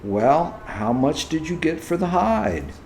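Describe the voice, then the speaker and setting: Man playing an exasperated, slow-talking country mother. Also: fairly high pitch, big pitch swings, vocal fry, a little mellow, roomy sound, a little hiss or hum, voice pushed up, heavily acted. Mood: expectant. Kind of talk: folktale storytelling.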